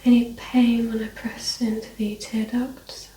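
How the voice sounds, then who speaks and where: A woman speaking softly, often dropping into a whisper, gently giving instructions as a doctor in an ASMR medical exam roleplay. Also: fairly high pitch, little pitch movement, a little slow. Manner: soft, partly whispered, gently instructing, reassuring. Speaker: performer playing a doctor giving an eye exam. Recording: roomy, distant mic, faint noise.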